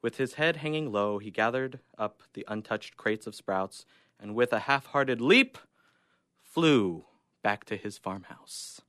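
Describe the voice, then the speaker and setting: A man's voice: mid-range voice, animated, measured pace, fairly bright tone, no echo, clean studio sound. A young man reading his own short story aloud as scripted narration. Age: young adult.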